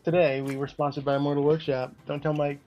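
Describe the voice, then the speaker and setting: A man's voice: fairly high pitch, flat delivery, fast talker, dull audio, faint music behind. A man co-hosting a gaming podcast, explaining card stats step by step with lots of fillers. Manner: explains game cards step by step with many fillers. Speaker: podcast co-host and card game creator. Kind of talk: casual gaming podcast chat.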